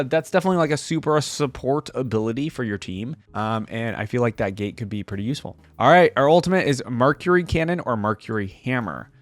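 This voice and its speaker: A man's voice: medium pitch, dry recording. A man giving casual gaming reaction commentary as a video host, thinking aloud in a conversational way.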